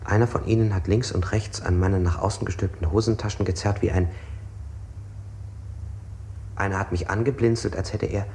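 Interrogated man, low and dark-toned, repetitive literary phrasing, evasive, oddly exact, German experimental radio play. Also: steady tone, normal speed, long pauses, a little hiss or hum. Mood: halting, precise. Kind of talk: 1960s German avant-garde radio play.

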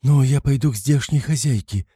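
A man's voice: fairly low pitch, normal speed, warm tone, husky, no echo, clean studio sound. A man voicing a timid, anxious middle-aged mother in an audiobook, tearful and easily frightened, pleading and fretful. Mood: pleading, distressed.

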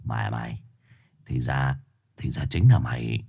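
A man's voice: unhurried, creaky, slightly rough, exaggerated voice. A man voicing a desperate young man trapped in a dog's body. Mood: snarling. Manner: pleading shouts, voice strained high.

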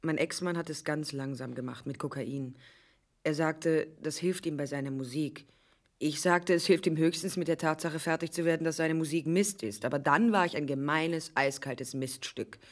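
A woman's voice: low-pitched, normal speed. An actress playing a tough, sardonic female private detective in a radio drama, dialogue and first-person narration, dry and wry. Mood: wry, bitter. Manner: dry, wry, hard-boiled.

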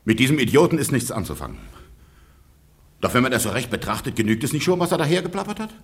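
A jealous, hot-tempered peasant husband, swooping pitch. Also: male voice, sing-song, quick pace. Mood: outraged. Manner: grumbling, exclamatory. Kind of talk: theatrical radio play acting.

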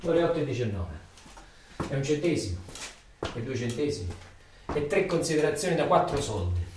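Neapolitan guy doing a theatre monologue, kinda high voice, really echoey room, bit of hiss, repeats himself for emphasis. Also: very animated, moderate pace. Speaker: stage monologue actor. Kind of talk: theatrical monologue, colloquial storytelling. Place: roomy interior, far from microphone. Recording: distant echoey room, faint noise.

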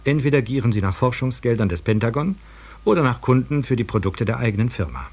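A man reading a formal radio script in a measured, articulate manner. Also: mid-range voice, sing-song, darkish tone, husky. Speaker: radio speaker.

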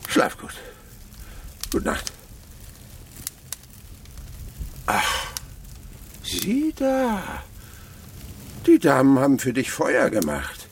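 An older man playing a miserly, gruff provincial uncle in a radio drama, blustering and wry in a husky, gravelly voice. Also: mid-range voice, slight background noise, full character voice. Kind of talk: German radio drama acting.

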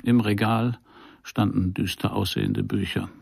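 An actor playing a wry, world-weary private detective in a noir radio play, with a dry, sardonic delivery. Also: male voice, fairly low pitch, steady tone, a little slow, creaky, darkish tone, dry recording. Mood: dry, observant. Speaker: narrator and lead of a crime radio play. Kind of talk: first-person noir radio drama narration.

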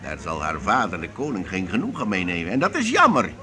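An actor in a Dutch radio play playing a genial, wily troupe master who speaks in a smooth, theatrical way. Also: male voice, low-pitched, wildly swooping pitch, a little fast. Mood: grand, courteous. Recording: radio play with crowd chatter behind.

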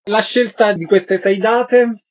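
A man's voice: high voice, steady tone, slow pace. A man hosting a radio interview, courteously putting short questions and making announcements. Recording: slightly dull, lo-fi radio sound.

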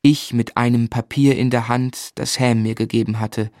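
A man acts the first-person narrator of a radio drama, an earnest young gentleman. His voice sits at medium pitch and he tells the tale in measured, sensitive, literary phrases. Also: steady tone, no room sound, studio quality, close to an everyday voice. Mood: sombre.

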